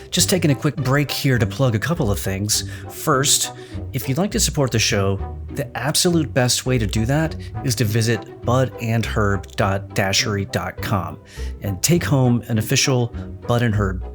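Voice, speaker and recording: A man giving a friendly scripted promo read as an announcer for a podcast. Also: medium pitch. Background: music.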